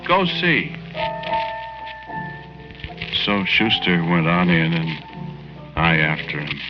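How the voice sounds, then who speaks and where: A low, slangy American ghost crook. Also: male voice, very animated, measured pace. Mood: quietly sly. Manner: plain, slangy, ungrammatical tough-guy talk. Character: rough-spoken ghost of a petty crook, plaintive, quietly vengeful. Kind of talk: 1940s radio acting, first-person narration. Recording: dull lo-fi 1940s radio recording. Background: dramatic music.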